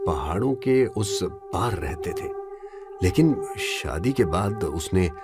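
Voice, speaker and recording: An actor playing a doubtful, evasive husband in an audio drama, hesitant and defensive in manner. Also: male voice, sits low, animated, slow pace, loud music behind the voice, heavily acted. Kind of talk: Hindi audio drama acting.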